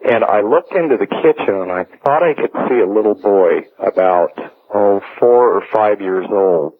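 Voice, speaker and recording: A middle-aged man calling a radio show, telling a personal story in a halting, deliberate way with many hesitations. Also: lowish voice, lively intonation. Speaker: radio caller. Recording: narrow, tinny phone line on radio.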